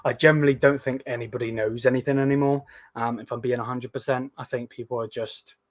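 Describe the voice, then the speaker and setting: A man hosting a casual live stream, slightly hoarse, rambling with lots of hesitant fillers as he reads viewer comments. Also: mid-range voice, steady tone, quick pace, dry recording, studio quality. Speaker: host of a live fan stream.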